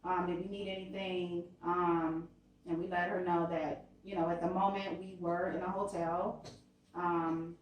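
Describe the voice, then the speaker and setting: A woman's voice: lowish voice, level tone, unhurried, very echoey, far from the mic, a little hiss or hum, rough recording. A woman co-telling a travel story in a conversational way, hesitating with many fillers. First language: English. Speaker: vlogger. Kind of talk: storytime chat.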